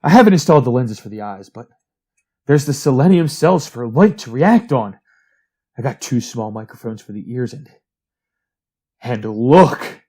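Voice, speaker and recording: A man's voice: medium pitch, animated, normal speed, pauses often, mellow, breathy edge. An actor playing a brooding, obsessive male amateur inventor, evasive and hesitant, in a retro radio drama. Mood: proud, excited.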